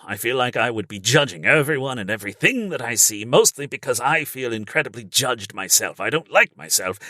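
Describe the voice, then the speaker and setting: A judgmental, self-loathing man put on by a man speaks through clenched teeth. His pitch is pushed down low, and the sound is very dry. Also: bright, forceful tone, dry recording, heavily acted.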